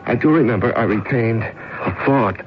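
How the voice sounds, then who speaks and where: Actor as an anxious, pleading man, wildly animated. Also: mid-range voice, normal speed, lightly acted. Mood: troubled, confiding. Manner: stammering, insistent, pleading. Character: anxious, pleading fired family man desperate for answers. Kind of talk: 1970s radio drama acting. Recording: muffled, noisy old radio broadcast.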